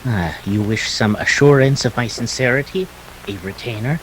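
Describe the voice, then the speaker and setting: A man's voice: medium pitch, slight background noise, close to an everyday voice, low-quality recording. A man acting a fussy, formally polite and slippery Greek crook in a 1940s detective radio play. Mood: smoothly courteous. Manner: formal, precise, halting politeness.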